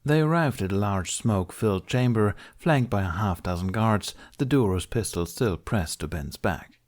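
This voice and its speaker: A man's voice: fairly low pitch, animated, normal speed. A man narrating scripted fiction as an audiobook reader.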